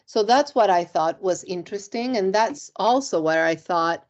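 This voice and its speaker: A middle-aged woman from Argentina, interviewed about her career, speaks in a measured, reflective way with frequent ums. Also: mid-range voice, expressive, highs cut off. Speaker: compliance executive, interview guest.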